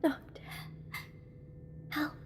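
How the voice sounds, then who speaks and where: A woman playing a frightened but defiant captive in an audio drama, anxious, protesting and halting. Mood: fading, defiant.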